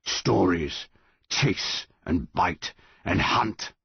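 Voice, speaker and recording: A man voicing an ancient, towering male tree monster that speaks in a slow, grand, commanding way. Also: lowish voice, very animated, gravelly, husky, dry recording, lo-fi sound, voice pushed up, full character voice. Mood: stern.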